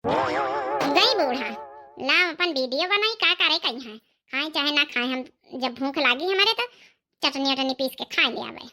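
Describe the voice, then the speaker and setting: Squeaky, defiant young Awadhi wife played by a woman. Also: animated, unhurried, pushed and bright, soft background music, full character voice. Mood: dismissive. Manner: dismissive, back-talking. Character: defiant, carefree young village daughter-in-law obsessed with reels. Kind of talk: cartoon comedy dialogue.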